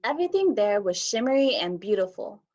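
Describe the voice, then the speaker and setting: A woman's voice: medium pitch, very animated, fairly quick, a little mellow. A woman teacher reading a picture book for children, recorded with dull, lo-fi sound. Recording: dull lo-fi audio.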